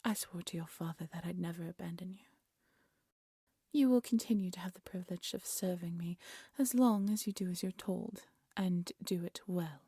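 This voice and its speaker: A woman playing a haughty, cruel evil queen in a fairy-tale audio drama, speaking in an imperious, condescending way with a breathy voice. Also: fairly low pitch, moderate pace, dark tone, dry recording, clean studio sound. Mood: coldly condescending. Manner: imperious, scolding, condescending, theatrical.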